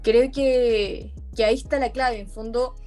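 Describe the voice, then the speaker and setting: A woman's voice: fairly high pitch, fairly even intonation, a little slow, mellow, faint music behind. A young woman from Chile with a Chilean accent, speaking earnestly as a youth activist guest, often restarting her phrases. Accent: Chilean Spanish. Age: young adult. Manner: earnest, many restarts and self-corrections. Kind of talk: conversational interview.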